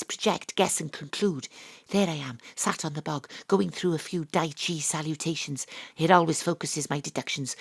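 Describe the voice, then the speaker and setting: Female detective, lower-pitched, close dry sound, theatrical, sardonic, hard-boiled parody, audio drama. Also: dry recording. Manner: dramatic, knowing first-person storytelling. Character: brisk, theatrical female private investigator, sweary and sardonic.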